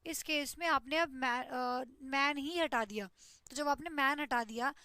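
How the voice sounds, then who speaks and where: A woman's voice: high voice, a little slow, pushed and bright, dry recording. A woman teaches an online English grammar class, explaining step by step and checking in with "ठीक है". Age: 20s.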